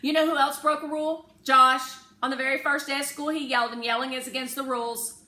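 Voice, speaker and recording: Woman voicing an insistent, high-pitched anxious schoolgirl. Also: steady tone, fairly quick, sharp tone. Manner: insistent, precise, blurting. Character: literal-minded anxious schoolgirl who shouts out numbers. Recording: roomy echo, faint background noise.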